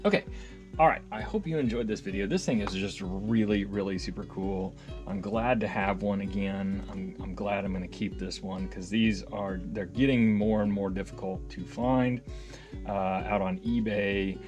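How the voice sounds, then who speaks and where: A man's voice: on the low side, normal speed, music in the background. A man hosting a casual, unscripted gear demonstration, enthusiastic, rambling along with muttered asides and self-corrections. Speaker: hobbyist video host and reviewer.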